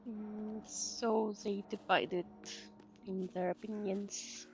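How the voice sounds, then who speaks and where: A woman's voice: medium pitch, level tone, slow pace, darkish tone, a little hiss or hum. A woman VTuber giving casual commentary on a game and reading dialogue aloud. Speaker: VTuber streamer. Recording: dull microphone, game music behind.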